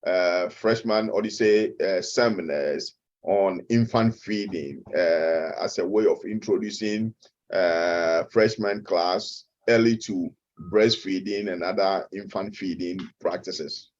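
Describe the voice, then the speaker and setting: A middle-aged man with a Ghanaian accent, a guest expert answering interview questions slowly, with frequent hesitant fillers. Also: deep voice, darkish tone, muffled recording. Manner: measured and slow, with many hesitant "uh" fillers. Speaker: guest expert, nutrition professor.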